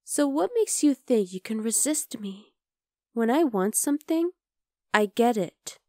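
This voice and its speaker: Woman as a slow, breathy, possessive vampire girl. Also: a little high, animated, darkish tone, no echo, clean studio sound. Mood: menacing, possessive. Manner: slow, breathy, slipping into whispers. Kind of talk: ASMR roleplay acting, addressed to the listener.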